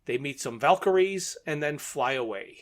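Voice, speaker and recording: A man's voice: fairly high pitch, lively intonation, moderate pace. A man co-hosting a podcast, recapping a plot in a methodical, matter-of-fact way. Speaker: podcast co-host.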